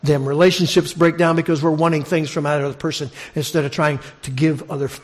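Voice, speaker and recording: A man's voice: a little high, fairly even intonation, fairly quick. A man giving a conversational expository sermon as a preacher, posing questions to his listeners and repeating phrases for emphasis. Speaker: preacher teaching a congregation.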